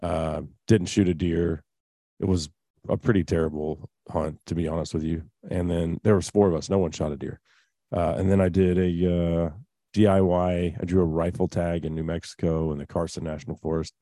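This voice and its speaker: A deep, dark-toned man with a drawl hosts an outdoor radio show. He talks in a relaxed, chatty way and slides easily between ad reads and conversation. The sound is close and dry. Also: normal speed, dry recording. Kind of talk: casual radio chat and live ad reads.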